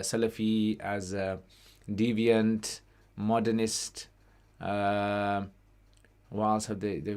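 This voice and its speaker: A man's voice: lowish voice, a bit bright. A man hosting a casual livestream Q&A, reading out questions and answering conversationally, with Arabic phrases sprinkled in.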